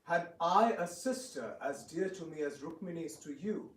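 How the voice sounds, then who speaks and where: A man playing a sly, flattering, scheming visiting king in an amateur dramatic reading, with theatrical, drawn-out phrasing. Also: fairly high pitch, lightly acted. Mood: sympathetic. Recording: echoey room, distant mic, faint noise.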